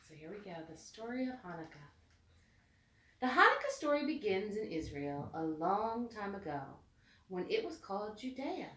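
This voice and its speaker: A woman reading a storybook aloud with expressive, deliberate phrasing meant for children. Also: mid-range voice. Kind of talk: read-aloud storybook narration. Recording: echoey room, distant mic.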